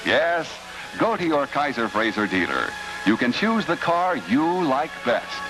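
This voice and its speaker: A man's voice: mid-range voice, sing-song, measured pace, poor audio. A man narrating a mid-century promotional film in a scripted announcer style. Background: music.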